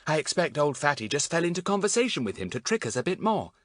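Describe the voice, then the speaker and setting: A man's voice: on the high side, very animated, quick pace, no room sound, pitched above the performer's own voice. A man voicing a sensible, scolding schoolgirl with a matter-of-fact, reproving manner in a storybook. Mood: sure.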